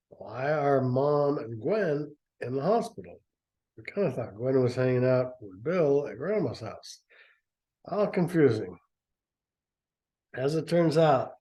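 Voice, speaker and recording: Guy reading a story and snarking at it, stammery, warm mellow voice. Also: medium pitch. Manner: sarcastic, mocking asides with stammers. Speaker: reader and commentator. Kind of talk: dramatic reading with sarcastic commentary.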